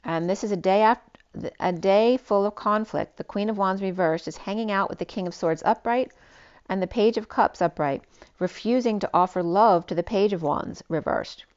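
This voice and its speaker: A woman giving a spiritual tarot reading, rambling and free-associating with many restarts, her voice going hoarse. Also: mid-range voice, normal speed, dull audio. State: losing her voice. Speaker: tarot reader.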